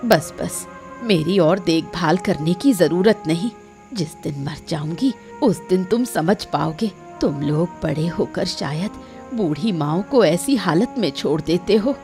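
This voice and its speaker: A woman's voice: lowish voice, expressive, measured pace, dark tone, soft background music. A woman voicing a frail, reproachful old village mother in a cartoon moral story, with a plaintive manner. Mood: hurt, reproachful. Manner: plaintive, guilt-tripping.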